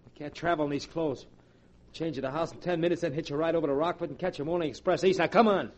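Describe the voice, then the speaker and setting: A man's voice: a little high, fairly even intonation, fast talker, natural voice. An actor playing a tough, bullying, slangy male crook in a 1940s radio drama, brusque and impatient. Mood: impatient, angry. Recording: noisy vintage 1940s radio recording.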